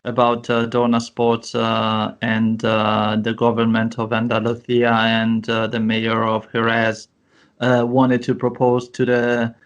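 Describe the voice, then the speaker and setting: A male podcast host leads the interview with long questions that wander. He pads them with plenty of fillers and false starts, and his voice sits at an ordinary middle pitch. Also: completely flat delivery, takes it slowly, muffled recording. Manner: rambling questions with many fillers.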